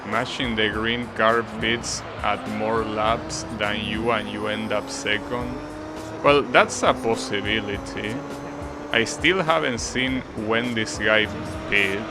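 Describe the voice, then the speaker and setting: Slow, gravelly Argentine man. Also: lowish voice, over loud music. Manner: relaxed, musing, talks to chat. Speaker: streamer. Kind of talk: casual live-stream gameplay commentary.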